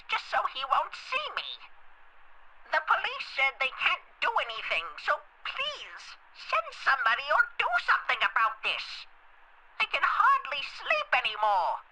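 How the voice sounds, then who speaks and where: A woman's voice: very high voice, huge pitch swings, normal speed, pushed and bright, slight background noise, heavily acted. A woman playing a frightened, sleepless older caller in a video game, hesitant and pleading over a telephone line. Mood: pleading, distressed. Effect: telephone filter.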